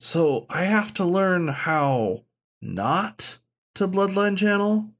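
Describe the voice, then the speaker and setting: Man playing an anxious young dog student, high and plaintive. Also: big pitch swings, a bit bright, full character voice. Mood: worried. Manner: hesitant, halting, plaintive.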